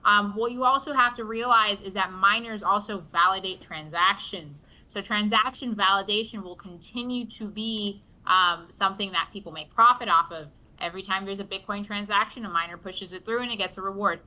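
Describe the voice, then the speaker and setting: A woman's voice: medium pitch, brisk, few pauses, sharp tone, low-quality recording. A woman co-hosting a casual crypto livestream, talking loosely with fillers like "like" and "um".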